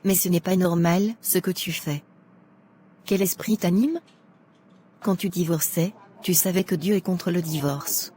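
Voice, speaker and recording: Woman acting a blunt, low-voiced judgmental churchwoman. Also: fairly even intonation, measured pace, mellow, a little hiss or hum, close to an everyday voice. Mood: indignant scolding. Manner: outspoken, scolding.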